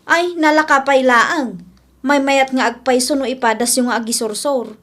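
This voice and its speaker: A chatty village busybody with a very high voice and an Ilocano Filipino accent, acted by a woman. She is curious and gossipy, in the style of a radio comedy drama. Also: normal speed, a bit bright. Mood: curious, friendly.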